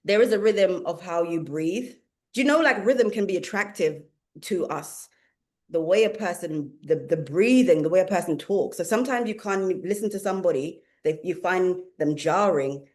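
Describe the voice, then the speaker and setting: A woman with a Jamaican accent who lives in England, a spiritual teacher talking as a guest in a casual conversation, playful and quick to laugh. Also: on the low side. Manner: laughs often, playful and animated.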